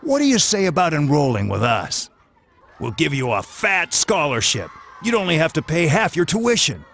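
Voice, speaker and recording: Gravelly, pushy school recruiter. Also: male voice, fairly high pitch, wildly swooping pitch, normal speed, fairly bright tone, heavily acted. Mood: eager, pushy. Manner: persuasive, informal. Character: pushy, slick male school sports recruiter. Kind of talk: anime video game voice acting.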